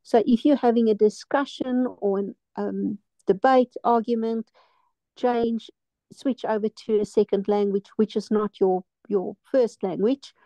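Dark, muffled woman. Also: mid-range voice, steady tone, a little slow, dry recording. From South Africa. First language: Afrikaans. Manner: thoughtful, searching for words. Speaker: meeting participant. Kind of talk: casual video call chat. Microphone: webcam video call. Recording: muffled lo-fi video call audio.

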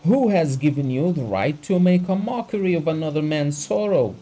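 A furious country doctor, as a male reader puts him on, raised and loud, spitting contempt at a rich man. He shouts in a high, strained voice, slow and heavy on each word. Bitter, scornful audiobook character acting. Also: lively intonation, exaggerated voice. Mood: outraged.

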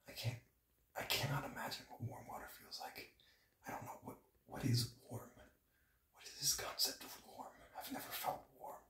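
A man in his early twenties, sitting in cold water, talking to himself in halting, breathy whispers while he shivers. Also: medium pitch, fairly bright tone, distant mic. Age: early 20s. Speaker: vlogger. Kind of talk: shivering self-talk vlog. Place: small bathroom, sitting in a bathtub. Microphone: phone camera held close. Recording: echoey bathroom, noisy phone audio.

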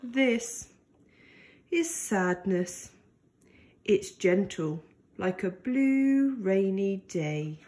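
A woman reading a picture book aloud to young children in a gentle, deliberate and expressive way. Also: medium pitch.

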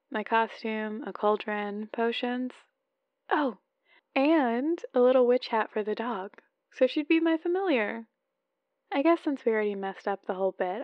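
Woman acting a playful, high-voiced newlywed wife. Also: no room sound, highs cut off, close to an everyday voice. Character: adorkable, playful newlywed wife, candle-obsessed and lovesick. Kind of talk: ASMR roleplay voicemail monologue. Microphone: close microphone, dry.

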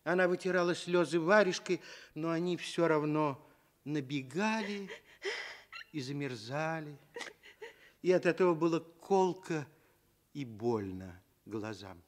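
High-voiced, expressive male storyteller. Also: measured pace, pauses often. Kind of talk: expressive scripted fairy-tale narration.

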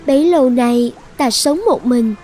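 A high-pitched young enchantress rules her island in a fairy-tale cartoon. She is possessive and sure of herself, sliding between sweet coaxing and threats, with music behind her. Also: a little slow, warm tone. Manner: commanding, self-assured.

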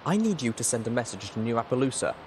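A man plays a plucky young mare pony in a storybook reading. She sounds urgent and bossy, lifted well above a deep reading voice. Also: big pitch swings, fast, noisy background, natural voice. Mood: urgent, cross.

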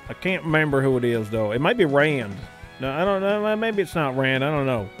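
A man's voice: mid-range voice, animated, brisk, faint music behind. A man livestreaming a game in casual, rambling chat, repeating phrases and swearing freely, with a put-on folksy hillbilly drawl.

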